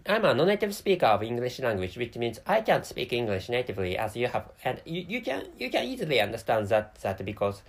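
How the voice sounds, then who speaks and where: Japanese-accented male podcaster, non-native English, plodding, self-correcting, lively intonation. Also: mid-range voice. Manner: halting, many restarts and self-corrections. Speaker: podcast host. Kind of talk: solo podcast monologue.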